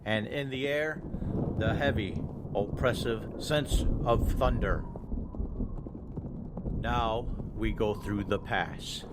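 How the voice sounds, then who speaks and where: A man's voice: lowish voice, slow pace, pauses often. A man playing an anxious coach traveller in a radio drama, with a dramatic, urgent delivery. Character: anxious male coach traveller describing a menacing journey. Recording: very noisy, sound effects behind.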